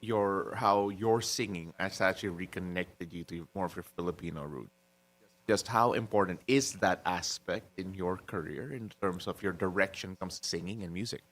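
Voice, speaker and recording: A man's voice: sits low, moderate pace, fairly bright tone. A man co-hosting a morning show, asking long, rambling interview questions.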